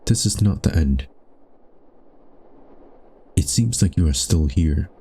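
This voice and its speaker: A man reading scripted second-person narration for a dark existential story in a solemn, measured, brooding manner. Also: very deep voice, expressive, husky.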